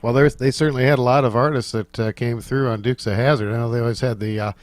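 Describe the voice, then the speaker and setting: A man's voice: mid-range voice, fairly even intonation, fast, no room sound. A man hosting a country music radio show, with upbeat presenter patter and a few fillers.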